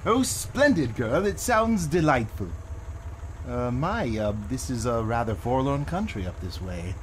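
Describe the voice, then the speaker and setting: A man's voice: medium pitch, big pitch swings, unhurried, a little hiss or hum. An actor playing a genteel, fussy male sidekick with an arch, theatrical, old-fashioned way of talking. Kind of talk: live 1950s radio drama acting.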